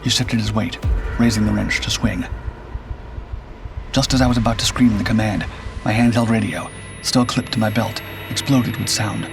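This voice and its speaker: A man narrating a scripted first-person suspense story in a measured, grave storytelling manner. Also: fairly low pitch, steady tone, gravelly. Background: background music.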